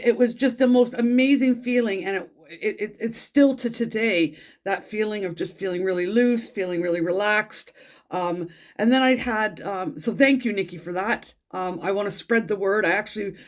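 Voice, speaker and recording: A middle-aged Englishwoman, a tarot reader, chatting in a casual vlog with a croaky throat from a cold, rambling and gushing with many ums and restarts. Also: medium pitch. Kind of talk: casual weekly vlog chat.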